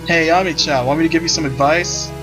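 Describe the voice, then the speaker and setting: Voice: mid-range voice, fairly even intonation, fast talker, music in the background, voice pushed up, natural voice, rough recording. A reader voicing a carefree, teasing male ghost in a lazy, jovial manner for a visual novel. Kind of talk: visual novel character reading.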